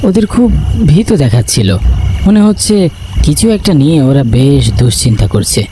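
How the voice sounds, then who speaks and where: A man's voice: medium pitch, big pitch swings, moderate pace, warm tone, a little hiss or hum. A man reading scripted narration for a horror cartoon story. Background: music.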